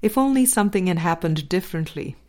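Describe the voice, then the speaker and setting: A woman narrating a spiritual dialogue book as a scripted audiobook reading, with measured, deliberate phrasing and pauses. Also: on the low side, lively intonation, a little mellow. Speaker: audiobook reader.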